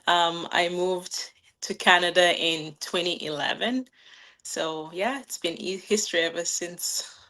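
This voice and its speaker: A woman in her thirties from Nigeria sits for a podcast interview as a guest. She talks in a loose, conversational way and fills her answers with "um"s and "like"s. Her voice sits a little on the low side for a woman. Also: a little slow, bright, forceful tone. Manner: friendly, laughs often, many "um"s and "like"s. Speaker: guest, new business owner.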